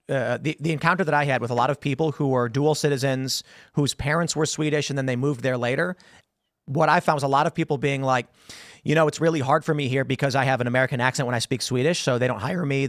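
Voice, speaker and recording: A man in his thirties hosts a political talk show from a studio, speaking into a boom-arm mic. He tells stories animatedly, restarting phrases often. The sound is dry and close, with no room echo. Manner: animated storytelling with many restarts and gestures. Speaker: podcast host. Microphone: studio mic on boom arm, headphones.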